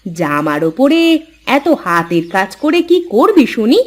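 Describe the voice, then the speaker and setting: A woman's voice: mid-range voice, very animated, measured pace. A woman voicing a nagging, hardworking village mother in a moral-story cartoon, chiding and complaining as she talks. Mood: bossy.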